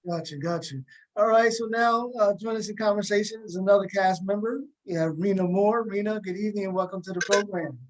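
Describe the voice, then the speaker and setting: A man's voice: high-pitched, steady tone, a little fast, poor audio. A man hosting a casual interview show, talking with guests in an informal way. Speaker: talk show host.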